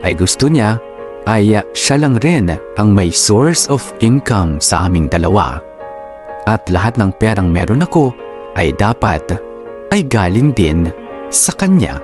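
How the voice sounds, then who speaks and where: A man with a Filipino accent narrating a wife's sentimental love story in the first person, in a deliberate radio drama style. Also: on the low side, very animated, music in the background. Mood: reflective. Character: aggrieved wife recounting her marriage.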